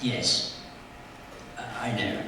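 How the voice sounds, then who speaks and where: An actor playing an elderly, fearful and wistful miser in a theatrical radio play, with a halting, emotional delivery. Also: male voice, on the low side, fairly even intonation, bright, forceful tone, exaggerated voice. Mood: sad, regretful. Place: theatre stage. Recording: echoey theatre hall, distant mic, faint noise.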